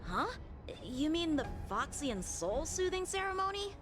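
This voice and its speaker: An actress voicing a lively, candid young woman guide in a video game, speaking in a casual, expressive way. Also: high voice, a little slow. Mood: curious, helpful. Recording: game music behind.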